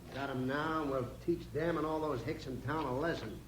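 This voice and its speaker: An actor playing a ruthless, blustering outlaw gang boss in the style of a 1950s radio western. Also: male voice, fairly high pitch, fairly quick, natural voice. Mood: menacing. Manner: blustering, threatening. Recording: echoey, hissy old 1950s radio recording.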